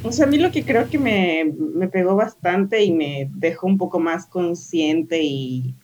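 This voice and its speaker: A woman's voice: on the low side, lively intonation, a little slow, darkish tone. A woman from Ecuador, a guest in a casual conversation, speaking in a rambling, hesitant way with many fillers.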